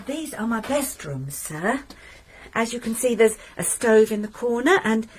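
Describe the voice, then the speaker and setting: A woman's voice: fairly high pitch, very animated, measured pace, noisy background. An actress playing a deferential, hopeful landlady who is eager to please her new lodger. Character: anxious, respectful middle-aged landlady, hopeful. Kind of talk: radio drama acting.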